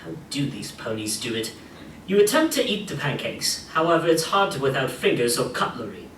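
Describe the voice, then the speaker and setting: An echoey, distant recording with faint noise of a male game narrator. His medium-pitched voice moves up and down animatedly as he reads scripted scene descriptions. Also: big pitch swings, normal speed, fairly bright tone.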